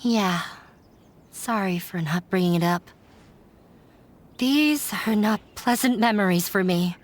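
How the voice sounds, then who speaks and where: An actress voicing a young woman who ran away from a demanding father, speaking in a quiet, resigned way. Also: mid-range voice, slow pace, a little hiss or hum, lightly acted. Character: young woman, runaway daughter, hurt and weary. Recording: game audio with faint music.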